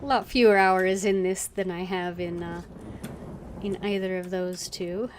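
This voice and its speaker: A woman chats casually as she streams a game, thinking aloud in a hesitant, rambling way. Her voice is fairly low and slow, with faint noise behind it. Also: steady tone. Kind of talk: casual, rambling livestream commentary.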